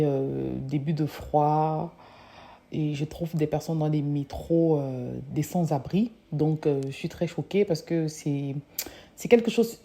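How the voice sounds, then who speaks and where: A young woman from Africa, a social work student, giving a hesitant personal testimony with many pauses and restarts. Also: deep voice, warm tone.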